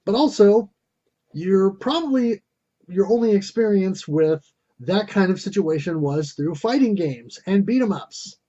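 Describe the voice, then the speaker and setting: A man's voice: sits high, lively intonation, unhurried, warm tone, dull audio. A man hosting a retro video game review, reading scripted narration in a theatrical, wordy and wry manner.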